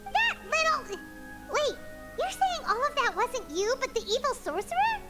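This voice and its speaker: A woman voicing an excitable, inquisitive young girl adventurer in a video game, animated and eager. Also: high voice, unhurried, over loud music. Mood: indignant suspicion.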